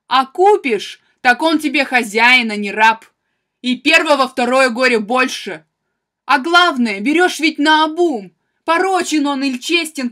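Casting: actress for wronged, vengeful wife in classical tragedy. Higher female voice, very slow, declamatory delivery, proud and bitter, intense. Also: sharp tone, heavily acted. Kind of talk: theatrical classical tragedy monologue.

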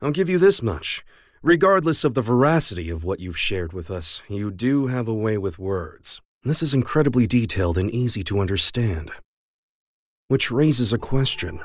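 An actor voicing a meticulous male official in a game, polite, precise and smoothly diplomatic. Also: fairly low pitch, no echo. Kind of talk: dramatic English game dub. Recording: music behind the voice.

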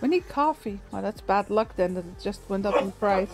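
Soft-spoken woman streamer chatting. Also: mid-range voice, moderate pace, over music. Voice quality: soothing voice. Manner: relaxed, chatty, frequent little laughs and fillers. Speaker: game streamer. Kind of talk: casual gameplay commentary, chatting with viewers.

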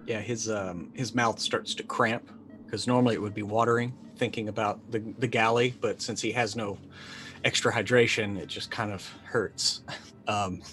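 A middle-aged man playing a tabletop roleplaying game, narrating casually and haltingly as he thinks aloud. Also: lowish voice, fairly even intonation, fairly bright tone, a little hiss or hum. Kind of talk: improvised in-character narration, casual. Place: indoor room, video call.

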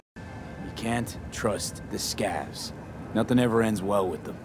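An actor playing a cool, cheeky mercenary in a video game, casual, wry and unruffled. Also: male voice, lowish voice, takes it slowly. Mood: calm, explaining. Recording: loud game music underneath.

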